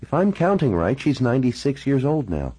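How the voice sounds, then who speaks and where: Male reader as sardonic woman, higher-pitched, frank, hard-drinking, husky, audiobook dialogue. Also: big pitch swings, brisk, mellow, no echo. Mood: matter-of-fact. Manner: wry, informal, frank. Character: troubled, sardonic young-to-middle-aged woman, hard-drinking and candid.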